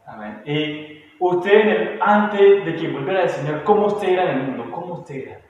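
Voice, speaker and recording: A man's voice: high-pitched, animated, moderate pace, darkish tone, lo-fi sound. Panamanian male church host, prayerful, rephrases questions; roomy distant recording. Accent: Panamanian Spanish. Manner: prayerful, repeats questions several ways. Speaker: host of a church online show. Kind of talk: church testimony interview. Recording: echoey hall, distant mic, faint noise.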